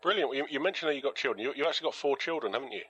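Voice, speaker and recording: A man's voice: medium pitch, racing, fairly bright tone, muffled recording, slight background noise. A British man interviewing casually on the radio, repeating words as he forms his questions.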